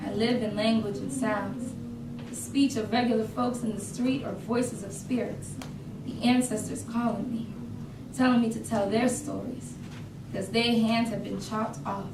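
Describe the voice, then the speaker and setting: Actress as yearning woman poet, lyrical stage monologue, higher voice, echoey noisy room. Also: distant mic, natural voice. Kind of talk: staged reading of poetic monologue.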